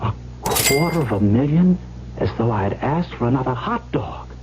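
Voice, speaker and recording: A man's voice: medium pitch, animated, normal speed, very noisy, natural voice. An actor playing a young male heir who narrates his own tale with wry storytelling. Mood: narrating. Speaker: narrator and lead in radio drama. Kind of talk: 1970s radio drama narration. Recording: muffled old radio recording with music.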